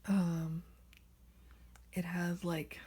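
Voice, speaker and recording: A woman vlogging casually about her doll collection, her raspy voice extra nasal and hoarse from a cold, often dropping to a soft whisper. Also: on the low side, little pitch movement, drawn-out words. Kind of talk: casual rambling vlog. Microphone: handheld camera without tripod.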